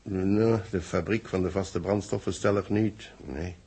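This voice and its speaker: Deep husky dutch space official, old 60s radio play, explains things slow and careful. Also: male voice, steady tone, a little mellow, heavily acted. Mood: measured, explanatory. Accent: Netherlands Dutch. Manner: deliberate, explanatory. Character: measured male space-base official briefing his secretary. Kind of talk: 1960s Dutch radio drama acting.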